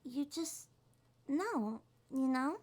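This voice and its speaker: A woman voicing a small, shy, gentle monster girl in an ASMR roleplay, speaking softly, hesitantly and reassuringly. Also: sits high, animated, extremely slow, exaggerated voice. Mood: gentle, chatty. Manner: hesitant, soft-spoken, reassuring.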